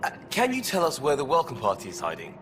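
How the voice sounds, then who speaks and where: A man's voice: medium pitch, wildly swooping pitch, fast talker, background noise. A man playing an easygoing, wry crewmate in a science fiction game, speaking casually and dryly. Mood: puzzled, dry. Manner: casual, dry. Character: easygoing, wry male crewmate of a space explorer. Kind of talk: naturalistic video game acting.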